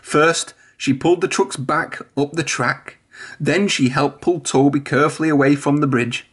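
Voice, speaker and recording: A man's voice: a little high, fairly even intonation, normal speed, fairly bright tone, husky. A man reading a children's storybook aloud, narrating expressively. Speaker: storybook reader.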